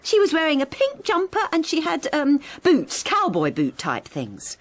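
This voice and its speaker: A woman acting in a radio drama as a middle-aged English wife, wistful and quietly defiant, halting and often dropping to a whisper. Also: high-pitched, wildly swooping pitch. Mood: accusing, cool. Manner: halting, repeats phrases, often drops to a whisper. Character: weary long-married wife newly wistful and quietly defiant. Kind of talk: radio drama acting, intimate dialogue and narration.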